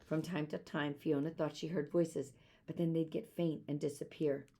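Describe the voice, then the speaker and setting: Low, creaky-voiced woman reading aloud. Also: little pitch movement, moderate pace, mellow. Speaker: storybook reader. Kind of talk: expressive children's story reading.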